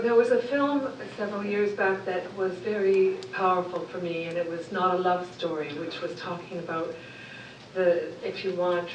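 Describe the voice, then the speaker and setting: A woman in the audience shares an earnest, searching comment at a panel discussion, restarting her sentences as she goes. She sounds distant from the mic, her voice ringing off the walls of a lecture room, with a faint hiss beneath. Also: medium pitch. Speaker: audience member, childbirth advocate. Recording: echoey hall from far off.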